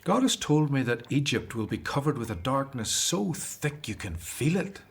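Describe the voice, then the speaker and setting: A man acting in a radio drama as an earnest, devout Hebrew prophet and leader, speaking in a solemn, measured way. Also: medium pitch, sing-song, breathy edge. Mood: solemn, resolute.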